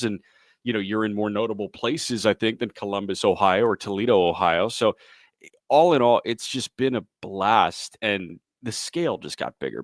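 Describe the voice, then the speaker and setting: A man's voice: fairly low pitch, no echo, studio quality. A man in his late twenties with an American accent, a sports broadcaster answering casually as an interview guest.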